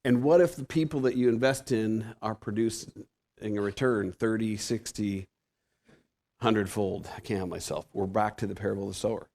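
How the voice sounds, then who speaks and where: A gravelly, fairly low-voiced man in his fifties preaches in a church with a Pacific Northwest American accent. His delivery is conversational and self-deprecating, full of restarts. The creak in his voice stays steady throughout. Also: normal speed. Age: 50s. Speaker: guest preacher. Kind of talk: sermon. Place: church sanctuary.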